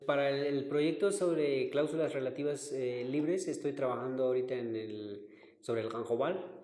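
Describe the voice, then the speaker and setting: Mellow-voiced man in an echoey room. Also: medium pitch. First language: Q'anjob'al. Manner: thoughtful, unhurried, searching for words. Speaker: linguistics professor and researcher. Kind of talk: interview answers, personal recollection. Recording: roomy recording with long reverb.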